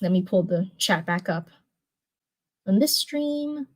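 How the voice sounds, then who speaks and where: A woman's voice: medium pitch, expressive, takes it slowly. A woman streamer chatting informally and reading fan fiction aloud, often repeating herself as she goes. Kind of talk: casual livestream chat and read-aloud storytelling.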